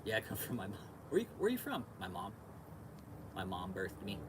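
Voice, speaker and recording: A man's voice: on the low side, huge pitch swings, fairly quick, background noise. An American man hosting a casual livestream, chatting with viewers in slangy, repetitive bro-talk with plenty of swearing. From California, United States. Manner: laid-back slangy bro-talk, repeats phrases, swears freely. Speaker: podcast and livestream host.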